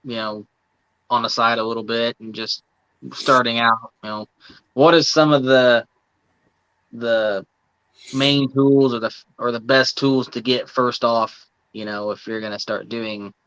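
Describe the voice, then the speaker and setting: A Midwestern American man, a co-host on a live talk show, asking his question haltingly with lots of "you know" fillers. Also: mid-range voice, steady tone, a bit bright, smooth, no echo, muffled recording.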